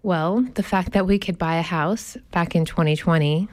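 A woman's voice: lowish voice, fairly even intonation, normal speed, a little mellow, dry recording. A woman in her thirties from New Jersey with a faded New Jersey accent, chatting as a radio guest, laughing often and dropping into playful whispered asides. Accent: faded New Jersey American. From New Jersey, USA. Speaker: studio guest. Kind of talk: casual radio chat.

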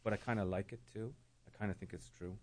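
A man's voice: fairly low pitch, fairly even intonation, quick pace. A middle-aged man, a novelist being interviewed, speaking thoughtfully and often repeating words as he searches for them. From Ireland. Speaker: novelist guest. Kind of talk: reflective interview talk.

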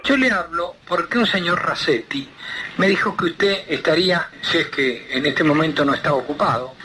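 A man's voice: fairly high pitch, normal speed. A man with a Rioplatense Argentine accent in a casual phone conversation, rambling and hesitant in his phrasing. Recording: noisy phone call.

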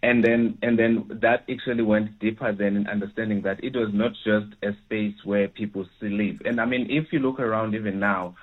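Hesitant academic guest, deepish voice, heard over a thin phone line. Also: male voice, steady tone, moderate pace, few pauses. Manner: hesitant, many fillers and restarts. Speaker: education researcher. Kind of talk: interview answers.

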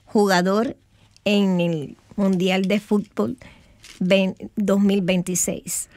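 A woman co-hosting a talk show, chatting casually and chiming in with short lively remarks. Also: medium pitch, slow pace. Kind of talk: casual chat.

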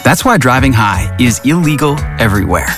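A man reading a public service announcement, calm and rhythmic. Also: mid-range voice, a little fast. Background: music.

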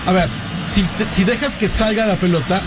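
A man working as color commentator on a live football broadcast, talking through plays in a halting, conversational way. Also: high voice, steady tone, crowd noise. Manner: conversational, halting, with fillers and restarts. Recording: broadcast with noisy background.

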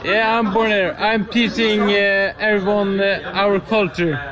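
A man's voice: high voice, flat delivery, measured pace. A man from Norway with a Norwegian accent, giving short, laconic answers. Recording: dull sound with crowd noise. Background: crowd.

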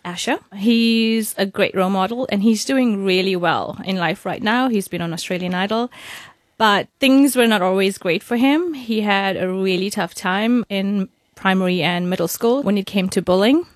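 A woman's voice: mid-range voice, normal speed, no room sound, studio quality. A middle-aged woman speaking conversationally as a guest on a radio show, a mother sharing personal stories. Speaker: caller and studio guest, a mother.

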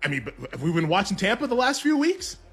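A man hosting a sports betting show, talking quickly with lots of fillers and frequent laughs. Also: high voice, husky. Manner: quick, excitable, heavy on "like", laughs often. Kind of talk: casual sports betting chat.

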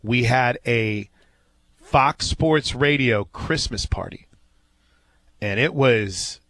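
A man's voice: medium pitch, takes it slowly, fairly bright tone, no echo. A man hosting a live sports radio show, telling stories in an easygoing, folksy way. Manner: easygoing storyteller, folksy asides.

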